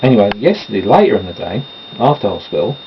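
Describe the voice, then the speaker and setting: A man's voice: lowish voice, big pitch swings, fast talker, creaky. A man chatting casually and meanderingly at home while doing his makeup, with self-deprecating asides. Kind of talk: rambling casual chat. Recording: dull lo-fi home recording with noise.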